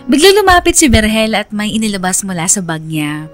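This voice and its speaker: A woman's voice: mid-range voice, sing-song, fairly quick, faint music behind, lightly acted. A woman playing a lovestruck, conflicted young woman narrating her own story in a confiding radio drama style. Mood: tender, lovestruck. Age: young adult. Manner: confiding, earnest.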